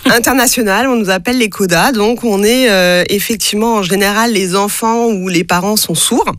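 A woman answering interview questions, her first language French Sign Language. She speaks thoughtfully, pausing with frequent fillers, at a medium pitch. Her voice sounds dry and close. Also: dry recording. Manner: thoughtful, many hesitations. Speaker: interview guest.

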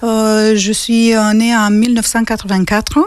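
A woman in her forties with a Romanian accent, a radio interview guest telling her story with frequent pauses and hesitations. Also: a little high, little pitch movement, smooth, dry recording. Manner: hesitant, many pauses and fillers. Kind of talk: interview conversation.

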